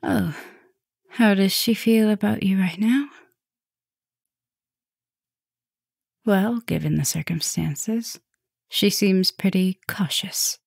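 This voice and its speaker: Woman doing a gentle dominant vampire girlfriend asmr, slow soft murmur, kinda husky, whispery, super dry recording. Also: mid-range voice, no room sound, full character voice. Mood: calm, cautioning. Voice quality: soft, breathy, often dropping to a whisper. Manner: slow, soothing, affectionate murmuring with pauses. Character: tender, gently dominant female vampire professor, centuries-old lover. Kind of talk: ASMR romantic roleplay, intimate and soft.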